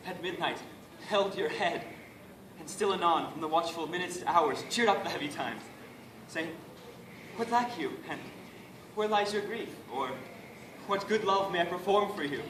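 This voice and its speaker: A man's voice: high voice, normal speed, long pauses, lightly acted. Man playing a pleading young prince, echoey room. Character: gentle young prince pleading with his jailer. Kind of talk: amateur Shakespearean stage monologue. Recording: echoey, noisy room, distant microphone.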